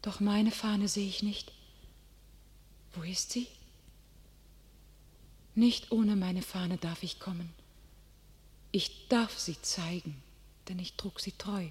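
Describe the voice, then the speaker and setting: A devout young warrior maiden in a classic radio play. She speaks solemn, declamatory verse, slow and deliberate. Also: medium pitch, fairly even intonation, a little mellow, husky. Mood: dying rapture.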